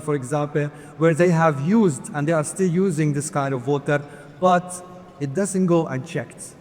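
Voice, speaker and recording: Man presenting in a reverberant hall. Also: fairly high pitch. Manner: earnest, asks for slides, light humor. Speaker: conference speaker. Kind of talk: conference presentation. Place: large conference hall.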